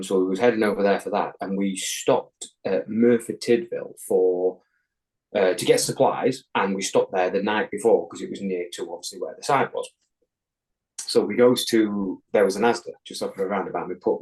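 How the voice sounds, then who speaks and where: Deep-voiced Englishman telling a rambling story. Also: moderate pace, darkish tone, poor audio. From England. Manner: rambling, swears constantly, many ums and restarts. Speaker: podcast guest. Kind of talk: casual chat, telling an anecdote.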